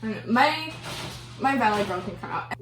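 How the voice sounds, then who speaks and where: A woman's voice: a little high, animated, brisk, a bit bright. A young woman chatting casually as a co-host, filling her talk with constant "like". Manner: chatty, filler-heavy, 'like' constantly. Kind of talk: casual chat over a meal. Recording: echoey room.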